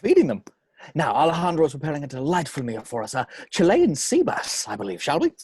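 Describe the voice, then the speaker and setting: A man playing a jovial, boastful older theme park owner in a script table read, with theatrical, halting delivery. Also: a little high, sing-song, creaky, a little mellow, breathy edge, no room sound, studio quality, exaggerated voice. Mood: excited, welcoming.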